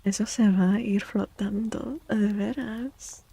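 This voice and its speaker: A woman speaks softly and slowly in a mellow, husky voice with plenty of fry. She drifts in and out of whispers as she chats gently in an ASMR livestream style. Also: mid-range voice, steady tone, no room sound. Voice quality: soft, husky, breathy. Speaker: live streamer and tarot reader. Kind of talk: soft-spoken ASMR livestream chat.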